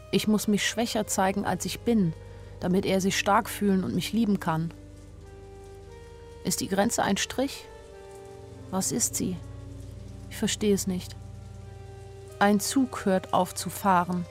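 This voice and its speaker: A young woman on the run, frightened yet loving, acted by a woman, narrates a radio play from inside her head. Her mid-pitched voice speaks in short, simple, intense sentences, with faint music behind. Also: natural voice. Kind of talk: radio drama, first-person inner narration.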